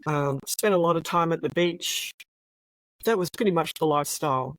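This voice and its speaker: An Australian woman in her sixties, a retired police officer telling her story as an interview guest in a relaxed, reflective way. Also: low voice, dry recording, low-quality recording. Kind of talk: interview conversation.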